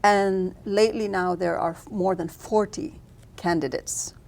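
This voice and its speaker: A woman's voice: mid-range voice, fairly even intonation, unhurried, gravelly, a little mellow. A woman from Lebanon, a filmmaker being interviewed, answering thoughtfully with frequent restarts and fillers. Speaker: filmmaker guest.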